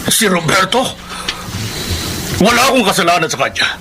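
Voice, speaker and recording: A man's voice: high voice, very animated, moderate pace. An actor playing a wronged, defensive old businessman father in a melodramatic Filipino radio drama, with a Filipino accent. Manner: indignant, self-justifying, melodramatic. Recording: noisy radio drama recording.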